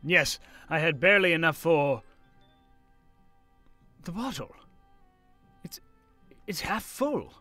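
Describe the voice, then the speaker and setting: A man's voice: on the high side, lively intonation, slow pace, long pauses, pushed and bright, no room sound, faint music behind, exaggerated voice. A man playing an elderly history professor in an audio drama, wistful, wondering and hesitant. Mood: curious, puzzled. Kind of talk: film-adaptation audio drama acting.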